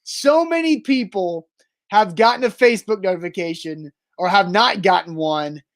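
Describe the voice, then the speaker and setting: A man's voice: high voice, animated, normal speed, no room sound. Dry, matter-of-fact male co-host. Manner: matter-of-fact, teasing, pedantic. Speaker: sports radio co-host. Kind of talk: casual sports talk banter.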